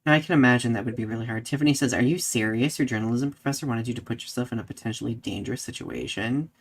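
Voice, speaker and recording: A young adult podcast co-host telling personal stories casually, with animated retellings and acted-out reactions. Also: medium pitch, rapid-fire, breathy edge. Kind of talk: casual conversational storytelling.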